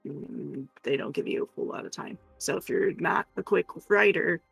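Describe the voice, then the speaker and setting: Man, casual co-streamer, halting, vocal fry, dry close sound, self-correcting. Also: fairly high pitch, a bit bright, dry recording, soft background music. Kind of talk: casual chat.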